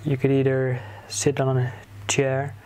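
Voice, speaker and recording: A man leading a guided meditation in a soothing manner, giving gentle instructions in short phrases with long pauses between them. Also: medium pitch, monotone. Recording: clear background noise, ambient sound.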